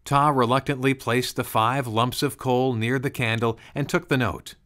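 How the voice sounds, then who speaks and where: A man's voice: mid-range voice, steady tone, normal speed, fairly bright tone. A man narrating a scripted storybook tale as a storyteller.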